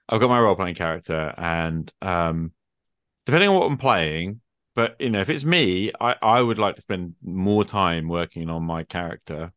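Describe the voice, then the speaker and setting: A man's voice: low voice, a bit bright, dry recording, clean studio sound. A man in a casual discussion about gaming, thinking aloud informally and often dropping in small conversational asides. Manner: informal, thinking aloud, peppered with "you know" and "like". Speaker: tabletop role-playing gamer in discussion.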